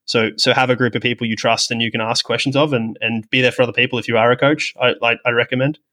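Guy chatting on a podcast, talks quick, fairly even pitch, kinda rambly and modest. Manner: rambling, self-deprecating, reflective. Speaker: podcast guest, sports technology professional with medical and coaching background. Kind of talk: conversational interview, explaining own experience.

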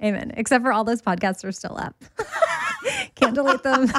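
A woman's voice: high voice, huge pitch swings, a little slow, a bit bright, a little hiss or hum. A woman in her forties chatting candidly on a podcast, often restarting her sentences as she talks. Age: 40s. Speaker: podcast guest. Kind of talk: casual podcast conversation.

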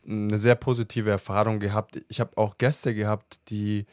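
A talkative man with a lowish voice hosts a relaxed podcast conversation. He laughs easily and keeps rambling on with his answers. The sound is close and dry, with no room around it. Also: moderate pace, dry recording. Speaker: podcast host. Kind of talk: casual podcast chat.

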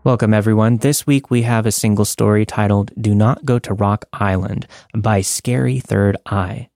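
Low-voiced man narrating, close and dry. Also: fairly even intonation, normal speed, a little mellow, no room sound. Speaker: podcast host and narrator. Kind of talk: scripted storytelling narration.

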